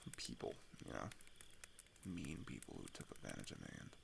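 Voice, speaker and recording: Male actor as open-hearted teen runaway climber, ASMR roleplay. Lively intonation, halting and confiding, gravelly, some background noise. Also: very deep voice, full character voice. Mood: subdued, wounded. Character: open-hearted teenage male climber fleeing across a border, weary but caring. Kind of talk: ASMR roleplay audio drama.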